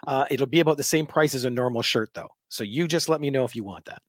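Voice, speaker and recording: A man hosting a casual podcast chat, upbeat and quick to laugh, reading out listener messages. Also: medium pitch, no echo, studio quality. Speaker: podcast host.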